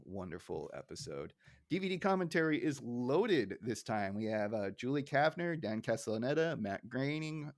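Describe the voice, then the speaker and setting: Middle-aged man, podcast host, medium pitch, casual recap talk, dry close sound. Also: no room sound. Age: 40s or older.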